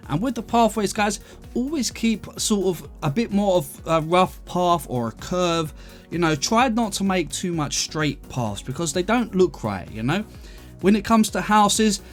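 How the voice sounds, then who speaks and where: Chatty English man, higher voice, over music. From London, UK. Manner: chatty, explanatory, addresses listeners as guys. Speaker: gaming video creator and host. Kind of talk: casual gameplay commentary answering viewer questions.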